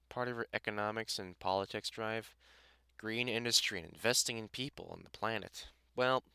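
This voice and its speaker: A man's voice: mid-range voice, pushed and bright, clean tone, no room sound, studio quality. A man playing a middle-aged British vampire hunter and carpenter in an intimate audio roleplay, blunt, sweary and teasingly affectionate. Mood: warm, earnest. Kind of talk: audio roleplay acting, intimate one-sided conversation.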